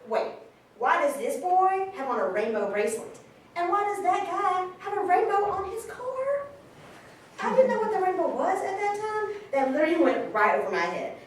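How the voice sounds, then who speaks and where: An animated young woman, an actress playing a fond sister in a stage monologue. Her high, lively voice tells the story informally, from far off in a very echoey room. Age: 20s. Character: twenty-eight-year-old sister fondly recalling her brother. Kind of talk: conversational monologue in a staged reading. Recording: very echoey room, distant mic, noisy.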